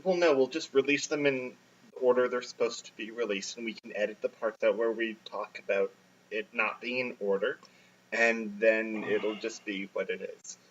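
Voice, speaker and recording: A young man co-hosting a film podcast, talking bluntly about his opinions, with many filler pauses. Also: steady tone. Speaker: podcast co-host. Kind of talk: casual film podcast chat. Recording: muffled low-quality recording.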